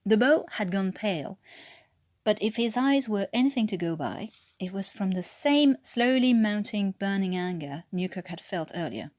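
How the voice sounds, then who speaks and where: A woman's voice: mid-range voice, animated, normal speed, a little mellow, no room sound, studio quality. A French woman reading a story aloud as an amateur narrator, with a French accent reaching for an English one. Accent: French, attempting English. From France. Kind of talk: expressive scripted story narration.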